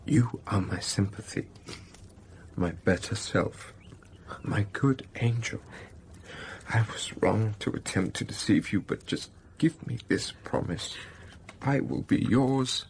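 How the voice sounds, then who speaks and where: An actor playing a brooding, passionate middle-aged master of a country estate in a period radio drama, gravelly and intense. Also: male voice, lowish voice, slow, a little mellow, slight background noise. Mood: tender, urgent. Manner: intense, confessional, pleading.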